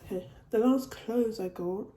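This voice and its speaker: A woman's voice: fairly high pitch, unhurried, warm tone, reverberant, a little hiss or hum. A woman showing off her shopping haul in a casual home vlog, her voice still a bit off from a cold. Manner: chatty, repeats and restarts phrases. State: recovering from a cold. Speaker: vlogger. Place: at home, indoors.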